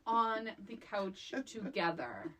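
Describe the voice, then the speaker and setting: A woman's voice: mid-range voice, very animated, slow pace, a bit bright. A middle-aged woman co-hosting a casual, comedic film recap, emphatic and incredulous, often repeating phrases for effect. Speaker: podcast co-host.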